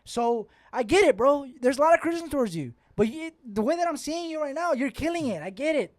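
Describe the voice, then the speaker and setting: A man's voice: extremely high pitch, expressive, brisk, no echo, clean studio sound. A man co-hosting a football podcast in casual banter, blunt and slangy, swearing and repeating phrases insistently. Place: podcast studio.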